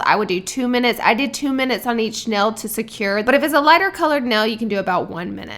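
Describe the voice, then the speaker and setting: A woman vlogger with a stuffy, slightly raspy voice, chatting upbeat and straight to her viewers as she walks through a tutorial. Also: a little high, fairly bright tone. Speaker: beauty vlogger. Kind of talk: sponsored tutorial vlog.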